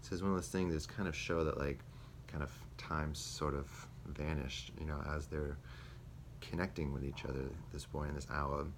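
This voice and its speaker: Young guy with a low voice thinking out loud about his teaching, lots of ums, little bit of hiss behind. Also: steady tone, moderate pace. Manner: hesitant, many ums and restarts. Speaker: student teacher. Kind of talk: spoken self-reflection. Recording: faint background noise.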